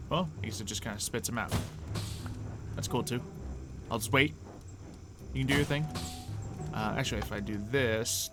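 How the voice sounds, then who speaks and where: A man hosting a gaming video, casually thinking aloud in a playful, excitable way as he plays. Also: mid-range voice. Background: background music.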